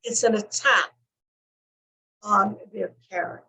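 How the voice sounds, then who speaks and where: A woman counselor on a talk show, explaining points in measured, listed steps. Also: mid-range voice, fairly even intonation, gravelly, sharp tone, slightly rough, poor audio. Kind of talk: teaching talk show discussion.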